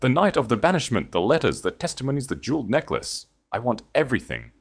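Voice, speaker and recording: A man voicing a ruthless, commanding duke who growls low and barks orders, in an audio story. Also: sing-song, quick pace, vocal fry.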